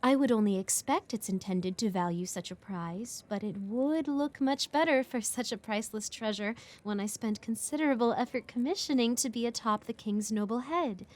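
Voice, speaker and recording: Lady acting a snooty scheming noblewoman, kinda high voice, super formal n politely cutting, fantasy romance roleplay. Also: normal speed, hardly any pauses, darkish tone, lightly acted. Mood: slighted, insistent. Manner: formal, courtly, pointedly polite. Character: haughty, scheming noblewoman duchess, politely slighted. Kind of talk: fantasy romance audio drama.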